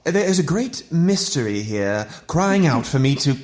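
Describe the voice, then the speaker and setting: Young male scientist, grandiose and obsessed; highish, swooping delivery, theatrical audio drama. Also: big pitch swings, measured pace, a little mellow. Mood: excited, fervent. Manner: declamatory, fervent, formal diction.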